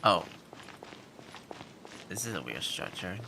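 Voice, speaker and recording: Deep-voiced male streamer, very noisy mic. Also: sing-song, takes it slowly, creaky. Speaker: game livestreamer. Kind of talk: casual reaction commentary. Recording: very noisy streamer microphone with clicks.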